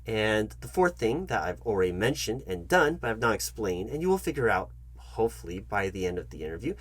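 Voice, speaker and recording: A man with a fairly low, husky voice plays a job interviewer in a comedic roleplay. His pitch moves around in a very animated way. He talks directly to the listener, fussy and halting, often repeating his instructions. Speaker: ASMR channel host acting as job interviewer.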